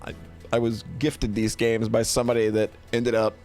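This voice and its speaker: A man's voice: medium pitch, monotone, moderate pace, a little mellow, soft background music. A middle-aged man chatting casually to a livestream, rambling playfully and often repeating himself. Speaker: streamer presenting a tier list. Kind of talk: casual livestream chat and commentary.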